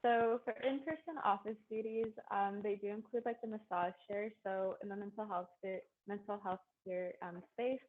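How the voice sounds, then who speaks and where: A woman's voice: mid-range voice, steady tone, normal speed, hardly any pauses, phone-quality sound. A young woman giving casual information over thin call audio.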